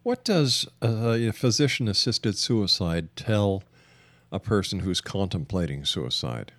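A man with a fairly low voice hosts a radio interview in a dry, close sound. He phrases his long questions deliberately, with a halting delivery. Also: lively intonation, a bit bright, no echo. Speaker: radio show host, interviewer.

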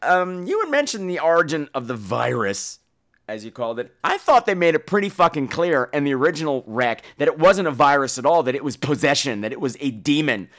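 A man hosting a podcast, giving casual, opinionated and profane film commentary in an animated way. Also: a little high, a little fast. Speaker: podcast host.

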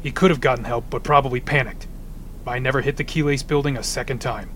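Guy doing a dry, blunt ice supervillain, cracks snow puns, medium pitch, bit of hiss behind. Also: steady tone, fast talker, fairly bright tone, natural voice. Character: pun-loving adult male ice supervillain, gruff and practical. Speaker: voice actor. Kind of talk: audio drama acting.

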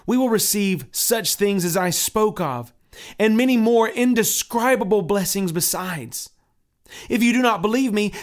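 A man's voice: high-pitched, pitched above the performer's own voice. A man voicing an earnest, devout male pilgrim who pleads and preaches with fervor. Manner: fervent, preaching.

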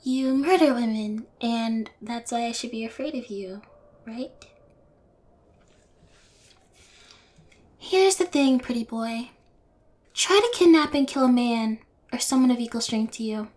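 A high-pitched young female convict played by a woman talks with mocking nonchalance. She is sarcastic, fearless and a little unhinged, in the manner of an intimate audio roleplay. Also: moderate pace, a little mellow, natural voice. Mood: challenging.

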